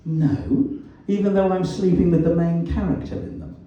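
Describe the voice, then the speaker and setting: Man voicing a young woman, cautious, wry, sharp-tongued, mellow tone, storybook reading, echoey room. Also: fairly high pitch, big pitch swings, normal speed, creaky, voice pushed up. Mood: cool, wry. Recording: echoey room, distant mic, muffled sound.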